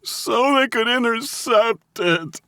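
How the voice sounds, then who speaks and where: A man voicing a frightened, tearful businessman held captive in an audiobook, halting and whining as he pleads. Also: fairly high pitch, lively intonation, vocal fry, darkish tone, no room sound, voice pushed up, exaggerated voice. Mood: terrified, tearful. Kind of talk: audiobook character acting.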